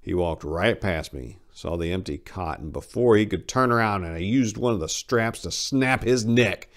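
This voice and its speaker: A man's voice: sits low, moderate pace. A man narrating a short story as a dramatic reading, as its host.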